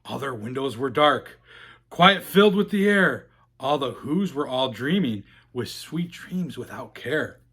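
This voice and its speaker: A man reading a children's storybook aloud theatrically, with emphatic phrasing and drawn-out pauses. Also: mid-range voice, animated, a bit bright, breathy edge.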